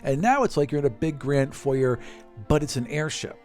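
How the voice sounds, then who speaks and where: A man's voice: a little high, lively intonation, moderate pace, darkish tone, soft background music. A man narrating a tabletop game as game master, casual and conversational, improvising explanations as he goes.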